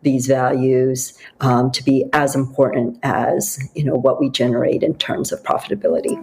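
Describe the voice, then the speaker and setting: A woman from South Korea talks as a podcast guest, explaining her company in a steady, businesslike way. Her voice sits very low and sounds mellow and dark. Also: normal speed, slightly rough, faint music behind, poor audio. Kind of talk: podcast interview.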